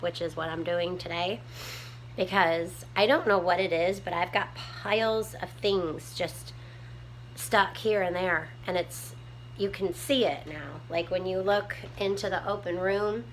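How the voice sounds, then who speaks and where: A woman with a small, soft voice, chatting casually to her viewers from home with lots of ums while she tidies up. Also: lowish voice, slight background noise, rough recording. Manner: rambling, many ums, homespun talk. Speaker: prepping vlogger. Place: home room, tidying a table.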